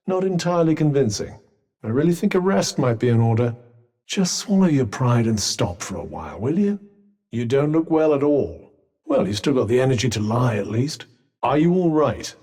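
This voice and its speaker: Sardonic talking cuff, husky, animated delivery, game voice lines. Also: male voice, on the high side. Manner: dry, wry and theatrical, with archaic phrasing. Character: sardonic, talkative magical cuff, male mentor. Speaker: voice actor.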